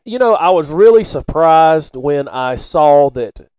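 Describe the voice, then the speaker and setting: A man's voice: a little high, expressive, unhurried, a little mellow, clear, resonant tone, dry recording. A middle-aged man, co-hosting a casual podcast about sports and local news. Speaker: podcast co-host.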